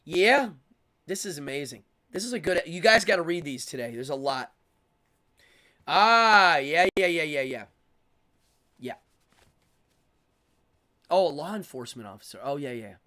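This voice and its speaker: Rambling man with a higher-pitched voice. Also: animated, sharp tone. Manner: rambling, repeats words in quick bursts. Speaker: live-stream show host. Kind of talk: casual opinionated live talk, reading and answering chat.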